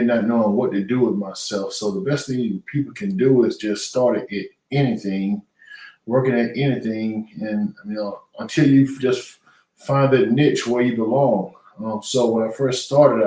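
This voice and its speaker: A man's voice: medium pitch, a little mellow. A middle-aged man, a retired boxer now in business, answering interview questions in an earnest, conversational way with frequent fillers and restarts. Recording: echoey room audio, voice from far off the mic.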